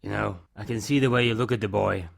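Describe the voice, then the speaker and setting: Guy playing wise old fella, super croaky low voice, gently needling, audio drama style. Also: steady tone, fast talker. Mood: knowing, teasing. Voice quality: gravelly. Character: knowing old man teasing about young love.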